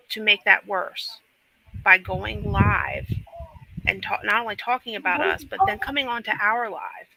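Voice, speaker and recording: A man's voice: high voice, vocal fry, sharp tone, slight background noise, low-quality recording. A man speaking on a livestream panel, pointed and full of rhetorical questions.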